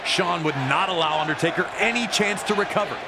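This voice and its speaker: Male narrator, high-pitched, dramatic scripted wrestling recap, emphatic delivery, very loud crowd roar behind. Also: a little fast, gravelly, very noisy. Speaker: sports narrator. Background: roaring arena crowd.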